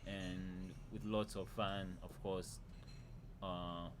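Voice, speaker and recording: A man from Zambia talks as a guest on an interview podcast, his voice a little low. He answers in a measured, polite way. Steady background noise sits under the recording.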